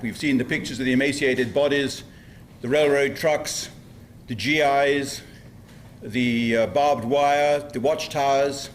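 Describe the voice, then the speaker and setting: A man acting as host, giving a formal, measured introduction of a speaker. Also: mid-range voice, a little hiss or hum.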